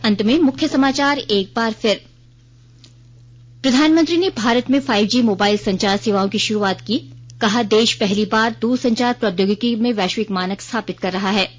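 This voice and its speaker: A woman's voice: a little high, normal speed. Woman reading radio news, dull lo-fi sound. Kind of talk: formal scripted Hindi news bulletin reading.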